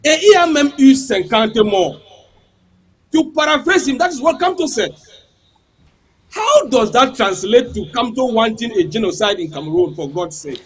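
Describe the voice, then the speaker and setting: Impassioned high-voiced man debating. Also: sing-song, normal speed. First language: not English. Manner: impassioned, rhetorical questions, repeats words. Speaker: panel guest. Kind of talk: heated political debate.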